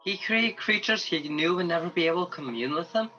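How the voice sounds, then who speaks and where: A man's voice: high voice, animated, brisk, sharp tone, muffled recording, faint music behind. A man playing an anxious, humble, loyal guardian angel in a radio play, hesitant and earnest in delivery. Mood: worried, obedient.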